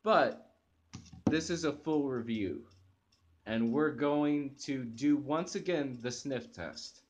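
Slow-talking young man reviewing coffee. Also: mid-range voice, noisy background. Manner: enthusiastic, hesitant restarts and fillers. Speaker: channel host reviewing coffee. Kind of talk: casual product review to camera. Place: living room, on a sofa indoors. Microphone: webcam at close range.

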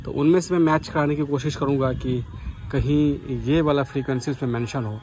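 A man's voice: mid-range voice, fairly even intonation, brisk, music in the background. A man giving casual vlog commentary as a radio hobbyist.

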